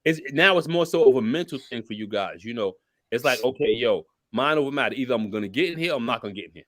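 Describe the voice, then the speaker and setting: A man's voice: mid-range voice, lively intonation, fast, fairly bright tone, no room sound, clean studio sound. A man in his mid-forties on a podcast, giving blunt, preachy motivational talk and cursing freely. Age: 40s. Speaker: podcast co-host. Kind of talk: casual podcast chat.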